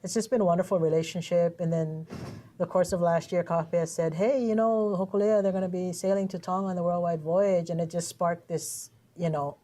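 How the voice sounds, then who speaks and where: A woman's voice: on the low side, steady tone, brisk, warm tone. A young woman from Fiji, a student, chatting casually as a guest in a studio interview. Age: young adult. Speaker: guest, student program assistant.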